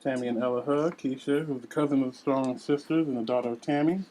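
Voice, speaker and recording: A woman's voice: extremely low pitch, steady tone, fast, warm tone, low-quality recording. A woman reading scripted scene narration and stage directions at a table read.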